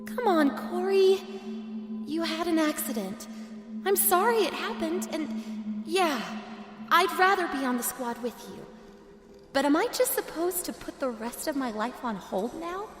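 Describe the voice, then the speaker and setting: A woman's voice: very high-pitched, lively intonation, unhurried, voice pushed up. A woman voicing a hot-tempered, bickering teenage twin sister in an audio drama, snappy and emphatic. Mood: exasperated. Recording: echoey hall reverb, music behind.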